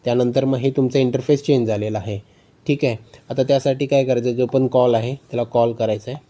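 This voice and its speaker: A man's voice: medium pitch, steady tone, fairly quick, warm tone. A man narrating a phone tutorial step by step, often repeating instructions and check phrases. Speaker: tutorial host.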